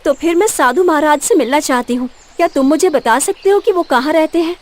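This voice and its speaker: A woman's voice: sits high, brisk, lightly acted. A woman voicing a sweet, eager and obedient young forest girl in her twenties in a cartoon story. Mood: eager, curious. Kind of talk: Hindi cartoon moral story voice acting.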